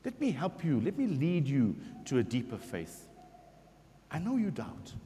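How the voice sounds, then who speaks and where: Middle-aged priest preaching in a reverberant church. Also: male voice, on the high side, a little hiss or hum. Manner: expressive preaching, voicing scripture quotes, slow emphatic pauses. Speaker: parish priest. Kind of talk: sermon. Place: large church sanctuary before the altar.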